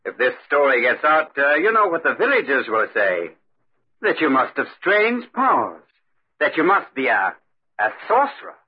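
Authoritative castle lord, swooping husky voice, old-time radio style. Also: male voice, medium pitch, very animated. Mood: thoughtful, worried. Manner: brisk, authoritative. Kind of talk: 1940s radio drama acting. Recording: muffled old 1940s radio recording.

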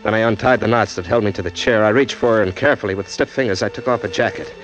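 A man's voice: lowish voice, fast, a bit bright, close to an everyday voice, rough recording. An actor playing a hard-boiled male killer in a 1940s radio drama, tough and clipped, narrating his own crimes. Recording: old radio recording with music.

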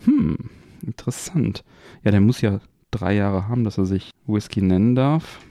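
A man's voice: on the low side, animated, normal speed, mellow, no echo, studio quality. A man hosting a podcast in a casual, chatty style. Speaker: podcast host. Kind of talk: casual chat.

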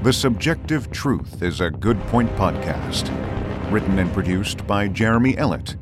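A man's voice: lowish voice, animated, unhurried. A man reading scripted podcast credits as an announcer. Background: music.

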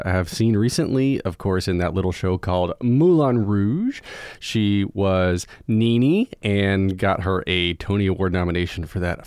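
A man's voice: lowish voice, darkish tone, no echo, clean studio sound. A man reading a playful podcast intro as host. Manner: playful, bouncy.

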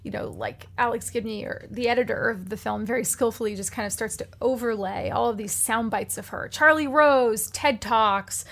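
A woman podcast host giving a chatty, animated recommendation with plenty of fillers. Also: fairly high pitch, normal speed, vocal fry, few pauses. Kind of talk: casual chat, recommendation segment.

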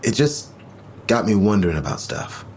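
An actor playing an earnest, vulnerable boyfriend in an audio drama, speaking in a halting, searching way. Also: male voice, fairly low pitch, big pitch swings, darkish tone, slight background noise. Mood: hesitant. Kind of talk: naturalistic audio drama dialogue.

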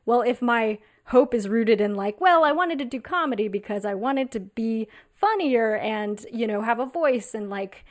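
Thoughtful quick-talking woman. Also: fairly high pitch, animated. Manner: thoughtful, quick, filler-heavy musing. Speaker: comedian. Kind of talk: casual panel conversation.

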